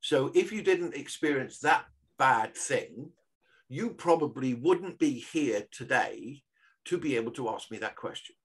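A man's voice: medium pitch, expressive, unhurried, husky. Encouraging older Englishman on a video call. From England. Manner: encouraging, earnest. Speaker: guest speaker. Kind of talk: motivational conversation on a video call.

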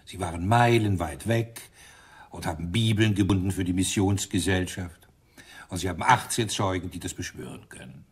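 An actor playing a gruff, sarcastic, impatient police inspector in a German radio crime drama. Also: male voice, sits low, normal speed. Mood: sardonic, menacing. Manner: sardonic, gruff.

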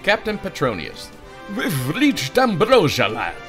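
A man voicing a gruff, dismissive old male ship captain for a cartoonish adventure game. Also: high-pitched, huge pitch swings, unhurried, over music, pitched above the performer's own voice, heavily acted.

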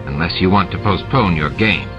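A man voicing a stern, dry shapeshifting male security chief who talks in measured, sarcastic sentences. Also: deep voice, close to an everyday voice. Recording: dull lo-fi with music behind.

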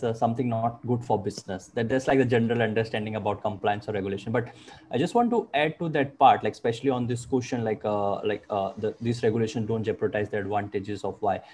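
Brief: thirties man, mid pitch, earnest, filler-heavy, earphone mic on a call. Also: darkish tone, slightly rough. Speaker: panelist. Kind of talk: panel discussion over video call. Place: room with wooden cabinets indoors. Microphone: wired earphone mic.

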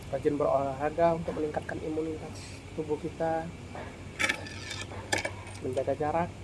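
A middle-aged man filming a casual food review vlog at a cafe table, talking to viewers in a friendly, chatty way and calling them "brother". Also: fairly high pitch. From Indonesia. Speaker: food vlogger. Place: open-air cafe, evening. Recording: noisy cafe phone recording.

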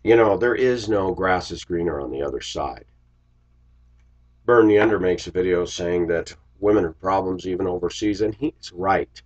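A man's voice: low-pitched, moderate pace. An American man in his forties, congested from a cold, talking reflectively and casually to viewers in a rambling confessional vlog. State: congested, getting over a cold. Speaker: vlogger. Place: small indoor room with shelves. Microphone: webcam microphone at desk distance. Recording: dull lo-fi webcam audio.

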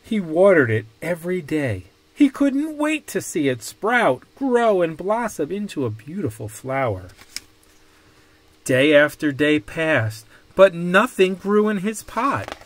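A man reading a children's picture book aloud with deliberate, dramatic phrasing. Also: sits high, big pitch swings. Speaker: storybook reader.

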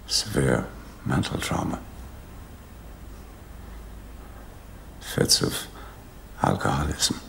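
An actor playing a stubborn, embittered middle-aged Irish father from a TV drama, with a gravelly Dublin accent. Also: extremely low pitch, bright, forceful tone, a little hiss or hum, heavily acted. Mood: weary, pained. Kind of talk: naturalistic TV drama acting.

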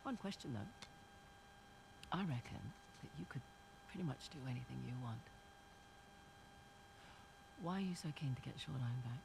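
A woman's voice: very deep voice, expressive, moderate pace, vocal fry, long pauses, exaggerated voice. An actress playing a wry, easygoing woman treasure hunter in a video game, talking casually and teasingly. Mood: wry, reflective. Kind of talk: naturalistic game dialogue. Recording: game ambience and vehicle noise behind.

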